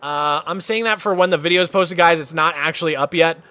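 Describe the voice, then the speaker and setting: A man's voice: fairly high pitch, a little fast, clean tone, no room sound. A man from Massachusetts streaming casually, chatting loosely with lots of "like" fillers as he tours a game world. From Massachusetts, USA. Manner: relaxed and chatty, many "like" fillers. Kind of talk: casual livestream commentary, touring a game world.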